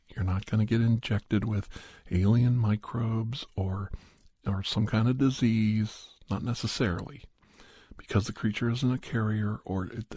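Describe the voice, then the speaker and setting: A man narrating a first-person horror story in an ASMR style, softly and in halting, unhurried short phrases with casual asides. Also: lowish voice, husky, no echo. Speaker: podcast host and storyteller.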